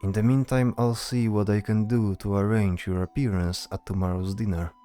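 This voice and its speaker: A man's voice: fairly low pitch, fairly even intonation, normal speed, dark tone, clear, resonant tone, soft background music, lightly acted. A man voicing a composed, commanding noble knight in a fantasy audio drama, speaking with formal authority.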